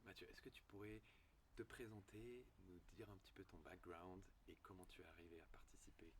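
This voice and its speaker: Low-voiced male interviewer over background noise. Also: fairly quick. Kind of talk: informal interview questions. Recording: clearly noisy ambient background.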